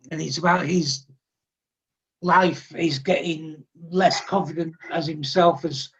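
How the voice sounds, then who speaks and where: A man from England chatting wryly on a casual panel and pitching his own project. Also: creaky, fairly bright tone, highs cut off. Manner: wry, teasing, self-promoting. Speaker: panel host, comic artist.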